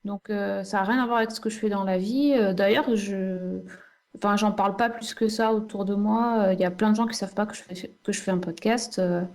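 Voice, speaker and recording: A woman's voice: mid-range voice, steady tone, brisk, a little mellow. Female podcast co-host as interview guest, mid-range voice, casual and somewhat hesitant. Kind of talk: casual conversational interview answers.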